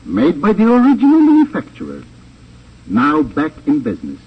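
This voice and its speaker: An actor playing a smug, suavely menacing crime boss with a pompous, precise delivery in a 1950s radio drama. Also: male voice, on the high side, wildly swooping pitch, normal speed, breathy edge, full character voice. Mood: smooth, persuasive. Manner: pompous, over-precise, relishing his words. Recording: dull, noisy old radio transcription.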